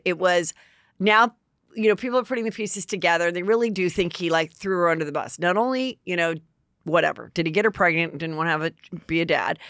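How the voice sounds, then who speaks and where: A middle-aged woman hosting a gossip podcast in a loose, chatty way, full of asides and fillers. Her voice is bright and forward, recorded close and dry with no room sound. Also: lowish voice, dry recording. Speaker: podcast host. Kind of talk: casual gossip chat.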